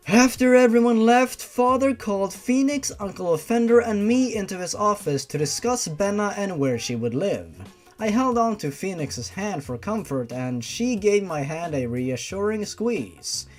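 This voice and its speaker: A narrator reading a first-person fan fiction story in an expressive, informal way. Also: medium pitch. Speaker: story reader. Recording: music behind the voice. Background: music.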